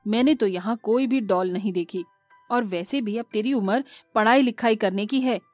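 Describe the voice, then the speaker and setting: A woman voicing a scolding middle-aged village mother in an animated moral story. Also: mid-range voice, moderate pace, dry recording, faint music behind, natural voice. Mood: mildly scolding.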